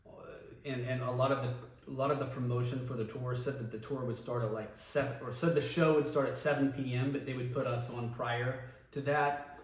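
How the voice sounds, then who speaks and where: A man, a former band singer, reminiscing casually in an interview, relaxed and rambling with many ums and restarts. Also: mid-range voice. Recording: echoey room, voice from a distance, faint noise.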